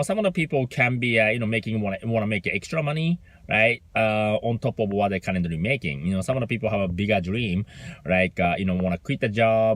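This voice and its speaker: Japanese-accented man, low and halting. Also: dry recording. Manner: halting, heavy fillers, frequent "right?" checks. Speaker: business mentor. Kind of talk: unscripted motivational talk.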